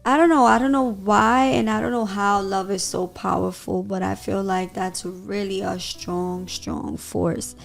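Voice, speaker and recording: A woman from the Bronx with a gravelly, fry-tinged voice speaks warmly about love. She addresses listeners directly with heartfelt advice in a casual podcast setting, while faint music plays underneath. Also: medium pitch, fairly even intonation, a little slow, hardly any pauses. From the Bronx, New York. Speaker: podcast co-host. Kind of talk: casual couple podcast talk.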